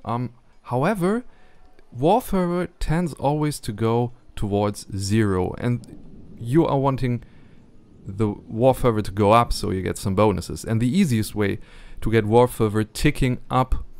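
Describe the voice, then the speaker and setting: A man's voice: mid-range voice, expressive, measured pace, darkish tone, smooth. A man with a German accent, not a native English speaker, narrating a strategy game tutorial carefully, with frequent ums and restarts.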